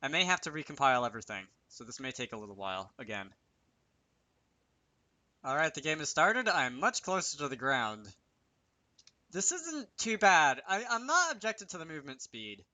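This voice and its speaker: A man's voice: a little high, big pitch swings, moderate pace, pushed and bright, no echo. A man giving casual, unscripted commentary over a screen recording, hesitant and thinking aloud as he works. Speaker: game developer hosting a tutorial series.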